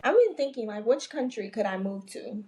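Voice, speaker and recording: A woman's voice: a little high, lively intonation, normal speed, darkish tone. A young woman co-hosting a podcast, joking in an offhand, slangy, casual chat. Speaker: podcast co-host.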